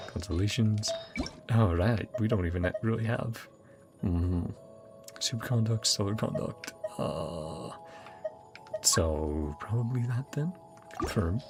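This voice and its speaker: A man's voice: fairly low pitch, takes it slowly, pauses often. A man casually commenting on a video game he is playing, reading the game text aloud and chuckling now and then. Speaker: gaming streamer. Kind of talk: casual let's-play commentary. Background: game music.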